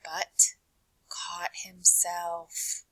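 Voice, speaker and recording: A woman reading a children's picture book aloud in an expressive, dramatic way, with deliberate pauses and hushed phrase endings. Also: fairly low pitch, whispered, rough recording.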